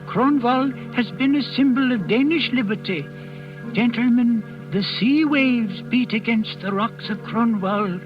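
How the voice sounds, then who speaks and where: High-voiced, sly old butler. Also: male voice. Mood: musing, feigned confusion. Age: older. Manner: rambling, deferential, slyly evasive. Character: sly old Danish castle butler, feigning doddering meekness. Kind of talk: 1940s radio drama acting and storytelling. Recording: old muffled radio recording with music.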